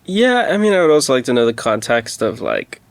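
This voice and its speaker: Man, smooth clear voice, casual podcast co-host, tentative conversational delivery, dry recording. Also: medium pitch, big pitch swings, brisk, dry recording. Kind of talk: casual discussion.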